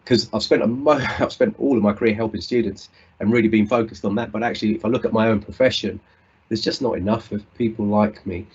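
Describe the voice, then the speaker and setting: Husky low-voiced British man. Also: steady tone, quick pace, a little mellow, dull audio. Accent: British English. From United Kingdom. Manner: earnest, reflective, with frequent restarts. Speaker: conference speaker. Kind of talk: conference talk. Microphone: video call.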